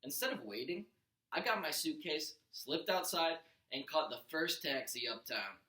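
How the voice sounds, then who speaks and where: A man's voice: fairly high pitch, lively intonation, normal speed, pushed and bright. A man reading a story aloud like a teacher to a class, expressively, with the odd stumble and self-correction. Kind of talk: animated children's audiobook read-aloud. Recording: echoey room.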